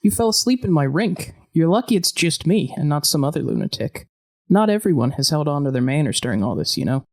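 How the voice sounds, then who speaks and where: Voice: medium pitch, sing-song, brisk, darkish tone. An actor playing an upbeat, scrappy ex-convict in a post-apocalyptic audio drama, breezy and playfully grandiose.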